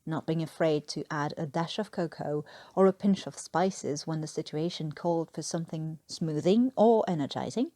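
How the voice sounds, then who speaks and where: A woman reading a scripted story aloud as an expressive narrator. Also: lowish voice, no echo. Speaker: fan fiction narrator.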